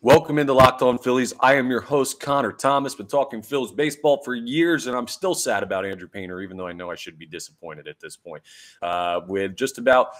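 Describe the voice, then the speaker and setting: A young man hosting a solo sports podcast, his voice hoarse and deeper after a night of drinking, chatting sarcastically with rambling fillers. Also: brisk, fairly bright tone. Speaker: podcast host. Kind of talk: casual solo sports talk.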